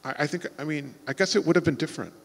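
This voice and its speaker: A man with an American accent from Texas, talking on a festival stage in a slightly raised voice. He thinks out loud, backing up and restarting sentences as he goes. His voice rings through a large hall with long reverb. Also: on the high side, rapid-fire, gravelly. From Texas, United States. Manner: thoughtful, rambling, with frequent restarts. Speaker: author on a festival panel. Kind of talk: conversational panel talk. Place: large festival venue before an audience.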